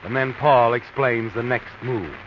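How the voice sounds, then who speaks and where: A man plays a mysterious, all-seeing narrator of a vintage radio mystery. He is ominous and insinuating, as if he knows every secret of the person he addresses. The old recording is muffled and clearly noisy. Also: mid-range voice, a little slow, close to an everyday voice. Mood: sly, knowing. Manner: insinuating, addresses a character directly.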